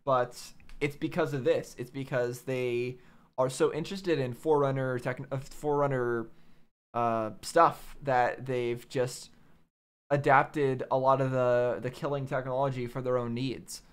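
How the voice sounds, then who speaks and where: A man's voice: mid-range voice, steady tone, a little slow, clear, resonant tone. A man giving casual, off-the-cuff reaction commentary, musing aloud in a puzzled, questioning way. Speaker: reaction video host.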